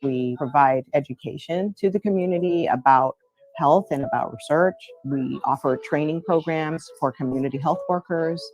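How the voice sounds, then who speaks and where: A man with a slightly raised voice explains community programs in an earnest, explanatory tone. He sounds sincere and steady. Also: fairly high pitch, moderate pace, rough recording. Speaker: research program staff. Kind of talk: documentary interview clip.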